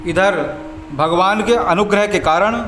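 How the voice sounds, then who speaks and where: A man narrating a scripted religious story as a devotional storyteller, in measured, formal phrases with frequent pauses. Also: high voice. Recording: echoey voice over background music. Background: background music.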